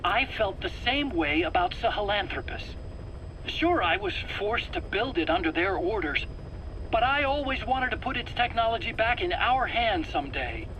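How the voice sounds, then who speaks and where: Anxious scientist, high-pitched, eager explainer, pleading, game cutscene acting, faint noise behind. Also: male voice, big pitch swings, normal speed, bright, forceful tone, lo-fi sound, heavily acted. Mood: defensive, pleading. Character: nervous, eager male scientist under interrogation, self-justifying. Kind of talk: dramatic video game voice acting. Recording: faint background noise, cassette tape interrogation.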